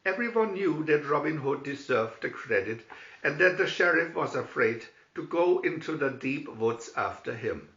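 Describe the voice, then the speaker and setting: A man narrating a storybook in a dramatic, theatrical and deliberate way. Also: a little high, expressive, a bit bright, reverberant, highs cut off.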